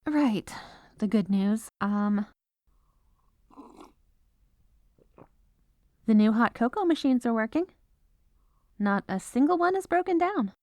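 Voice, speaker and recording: A woman's voice: on the high side, lively intonation, normal speed, dark tone, no room sound, clean studio sound, close to an everyday voice. A woman playing an exasperated, businesslike head lawyer at the North Pole in a scripted comedic audio roleplay. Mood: brighter, earnest. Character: exasperated, dutiful head legal advisor at Santa's North Pole.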